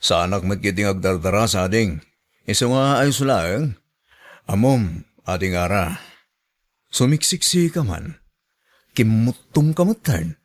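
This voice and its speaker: A man's voice: on the low side, lively intonation, unhurried, long pauses. An actor with an Ilocano accent playing a familiar, flirtatious and sympathetic village man in a radio drama. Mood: flirtatious, teasing.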